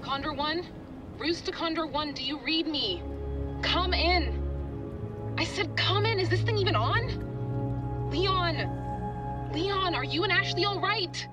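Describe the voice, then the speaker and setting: A woman's voice: very high voice, animated, slow pace, pauses often, highs cut off, heavily acted. An actress playing an anxious, insistent female mission operator calling over the radio in a cinematic video game. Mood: urgent, worried. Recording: distant voice under loud music.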